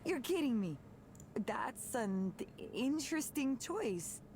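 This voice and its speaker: A woman's voice: a little high, very animated, slow, a little mellow, slight background noise. An actress voicing an upbeat, candid young woman, a musician and old friend, in a visual novel game. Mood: teasing.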